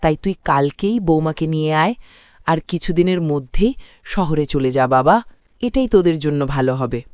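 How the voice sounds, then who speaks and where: A woman playing a gentle, self-sacrificing elderly mother in a cartoon drama, pleading in a motherly way. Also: sits low, expressive, normal speed, clear, resonant tone, no room sound, studio quality. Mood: tender, resigned.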